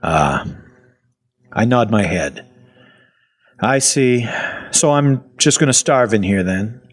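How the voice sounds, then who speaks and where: Male actor as wry, foul-mouthed apocalypse survivor narrating audio fiction: husky, conversational, highly animated pitch. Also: slow. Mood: sarcastic. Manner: sardonic, conversational, expressive. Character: sarcastic, foul-mouthed man, part zombie, captive survivor.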